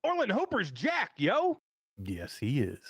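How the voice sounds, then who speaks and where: A man of fifty hosting a live show in casual chat, reading out greetings with excitement. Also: high voice, unhurried, breathy edge, no echo, clean studio sound. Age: 50s. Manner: excited, animated chat shout-outs. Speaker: host.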